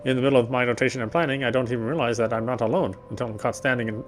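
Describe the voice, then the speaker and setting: A man reading visual novel narration aloud as he plays, often halting and correcting himself. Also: medium pitch, steady tone, a little hiss or hum. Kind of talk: read-aloud game narration.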